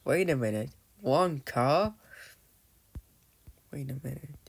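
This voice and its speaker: A man's voice: a little high, expressive, slow pace, creaky, breathy edge. A man giving casual, rambling commentary on his game, often losing his thread and calling out to viewers as "guys". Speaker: gamer narrating his play.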